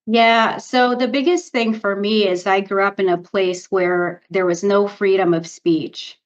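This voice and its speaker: A woman's voice: medium pitch, fairly even intonation, measured pace. A middle-aged woman from Romania, a native Romanian speaker, telling her story in an upbeat way as a guest on a video-call interview. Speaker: guest, PR agency founder. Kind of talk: interview answers. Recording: slightly dull lo-fi audio.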